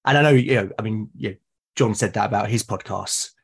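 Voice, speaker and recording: Low, slightly husky British man. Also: a little fast. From Britain. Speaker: podcast guest. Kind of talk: casual conversation.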